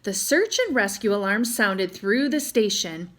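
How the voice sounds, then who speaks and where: A woman's voice: mid-range voice, expressive, a little slow, fairly bright tone. A woman reading a children's adventure story aloud in a dramatic manner, as if to young listeners. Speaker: storybook reader.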